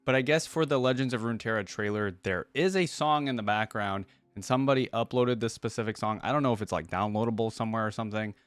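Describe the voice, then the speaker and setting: A man's voice: lowish voice, fast talker, hardly any pauses, smooth, no room sound. A man giving casual reaction commentary as a host, speaking informally and thinking aloud.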